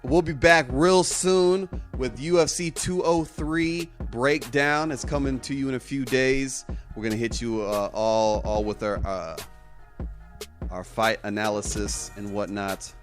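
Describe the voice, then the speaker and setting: A man's voice: mid-range voice, fairly even intonation, unhurried, faint music behind. A man co-hosting a casual MMA podcast, talking in hyperbolic, slangy hype with some swearing. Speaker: podcast co-host.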